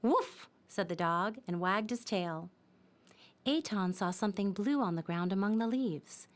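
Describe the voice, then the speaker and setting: A woman narrating a scripted children's story in a simple, expressive storytelling manner. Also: mid-range voice, unhurried.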